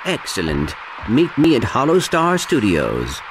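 A man's voice: mid-range voice, wildly swooping pitch, measured pace, background noise. A man voicing a small, polite robot sidekick in a video game, formal, precise and earnest.

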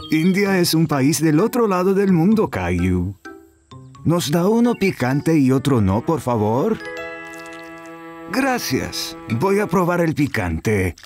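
Older grandfather, cartoon dub, gentle and patient, slow delivery, lively intonation. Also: on the high side, soft background music. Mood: kindly. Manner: gentle, patient, explaining to a child. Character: kind, encouraging grandfather, older man. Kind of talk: children's cartoon dubbing.

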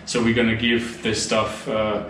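A man's voice: lowish voice, monotone, measured pace, fairly bright tone. A man in his thirties with a German accent, chatting casually as he shows off his travel gear, with fillers and restarts. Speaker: vlogger. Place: large tiled room indoors, then inside a truck garage. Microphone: camera mic from a few meters off. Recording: echoey room, distant camera mic, noisy.

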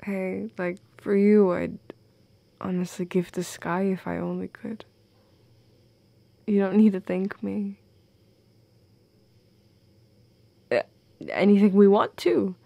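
A woman playing a playful, affectionate goth girlfriend in an intimate audio roleplay, teasing and tender, with soft breathiness, close on the mic. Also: mid-range voice, flat delivery, a little slow, creaky, pauses often, dry recording, highs cut off. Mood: tender, bashful. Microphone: close, dry microphone.

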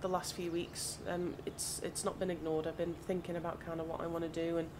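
A woman with a slightly croaky voice from hay fever, chatting casually and thinking aloud as she plays. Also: lowish voice, flat delivery, a little fast. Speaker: gaming channel host. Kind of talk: casual gameplay commentary. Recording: audible background noise.

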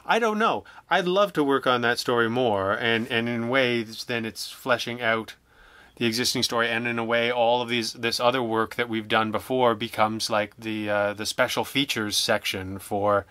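Bright, clear-voiced man chatting hesitantly. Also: medium pitch, fairly even intonation, normal speed, dry recording. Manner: hesitant, self-deprecating, wry. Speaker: interview guest, audio drama writer. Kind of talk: casual interview chat.

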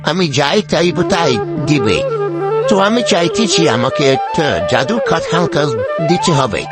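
A man voicing an eager, excitable village husband in an animal fable cartoon, with an exclamatory, giggly delivery. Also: a little high, moderate pace. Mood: gleeful. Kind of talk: cartoon voice acting. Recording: loud music behind the voice.

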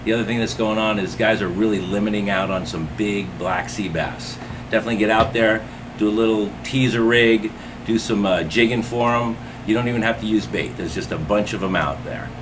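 A middle-aged man with a lowish voice gives an upbeat, promotional fishing report from inside a tackle shop. Air conditioning hums clearly behind him as a camera records from a few feet away. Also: fairly quick, background noise.

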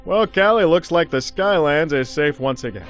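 A man voicing a vain, swaggering pilot in an animated game cutscene, hammy and self-satisfied. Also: fairly high pitch, moderate pace, soft background music, close to an everyday voice. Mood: smug bragging. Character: vain, swaggering male furry pilot, cheerfully boastful.

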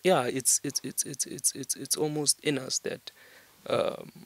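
A man's voice: medium pitch, fairly even intonation, unhurried, vocal fry. A young man with a South African accent, explaining things earnestly in a podcast conversation and repeating words to drive a point home. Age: young adult. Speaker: podcast guest. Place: small indoor podcast studio. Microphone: microphone on a stand.

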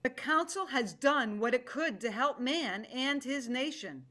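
A woman's voice: high voice, animated, a little slow, sharp tone, heavily acted. A woman voicing a stern, wrathful tigress in a play reading, with a commanding, declarative manner. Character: stern, wrathful female tiger who leads the council. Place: home room, video call.

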